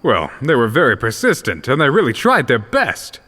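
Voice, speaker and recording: A man's voice: mid-range voice, wildly swooping pitch, normal speed, sharp tone, full character voice. A man playing a laid-back wolf-man in long reverb. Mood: unbothered, flippant. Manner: casual slangy drawl, unbothered. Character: laid-back caged male wolf-tribe creature, flippant slacker. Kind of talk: audio fantasy drama acting.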